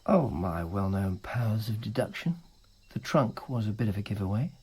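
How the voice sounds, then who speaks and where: An actor playing a dry, self-mocking male informer in ancient Rome, who narrates and speaks the lead role in a radio drama, with a husky voice. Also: fairly low pitch, lively intonation, normal speed, a little mellow. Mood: wry.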